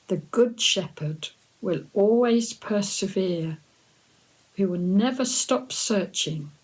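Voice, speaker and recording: A woman reading for young children in an expressive, deliberate, sing-song storytelling manner. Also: on the low side, husky, rough recording.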